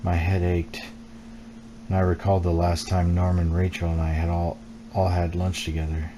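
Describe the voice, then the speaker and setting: A man's voice: low voice, completely flat delivery, normal speed. A man reading the text of a horror game aloud as scripted storytelling while streaming it. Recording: clear background noise.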